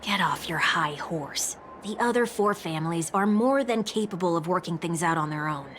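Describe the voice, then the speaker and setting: An actress playing a sharp, sarcastic young woman who speaks bluntly and teases, with music playing behind her. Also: on the low side, lively intonation, moderate pace. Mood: skeptical. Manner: teasing and blunt. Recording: game soundtrack music behind.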